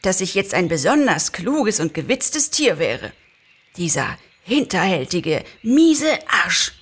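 A woman voicing a slight, excitable man freed from a spell in an audio-play reading, exclaiming and cheeky. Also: fairly low pitch, takes it slowly, husky, exaggerated voice. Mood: excited, indignant. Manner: overjoyed exclaiming, cheeky and self-assured.

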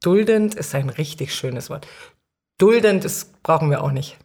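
Female interview guest with a low-pitched voice, talking casually and reflectively. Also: lively intonation, measured pace, darkish tone. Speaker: podcast guest.

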